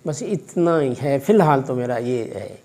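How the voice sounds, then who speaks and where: उर्दू लहजे वाला अधेड़ आदमी, नरम धीमी आवाज़. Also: male voice, mid-range voice, expressive, a little mellow. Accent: Pakistani Urdu. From Pakistan. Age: 50s. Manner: measured, fatherly, religious phrasing, hesitant fillers. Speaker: college vice principal. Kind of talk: interview answers. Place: office in a college. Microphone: far camera.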